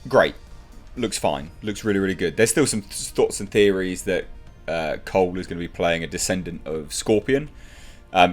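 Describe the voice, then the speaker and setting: A man giving casual, enthusiastic movie news commentary as an online reviewer, leaning on emphatic repetition. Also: sits low. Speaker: YouTube film news reviewer.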